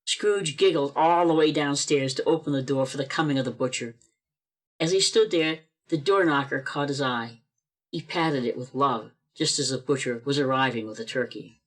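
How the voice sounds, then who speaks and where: A woman's voice: rumbling low, moderate pace. A woman reading scripted storybook narration as the narrator of a radio play. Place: performed remotely over a video call.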